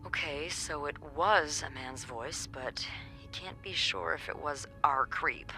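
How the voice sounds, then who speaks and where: An actress playing a chatty, wry young woman working as a hotel receptionist in a video game, with playful, expressive delivery. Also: low-pitched, measured pace, faint music behind, heavily acted. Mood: intrigued, helpful. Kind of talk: video game dialogue acting.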